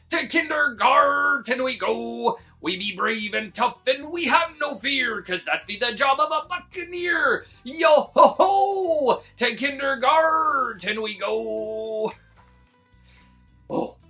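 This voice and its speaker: A gruff, blustering pirate who drives a school bus in a children's storybook. His pirate talk is full of drawn-out growls and swings widely in pitch. He takes his time, and music plays behind him. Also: bright, forceful tone, exaggerated voice. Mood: rousing, hearty.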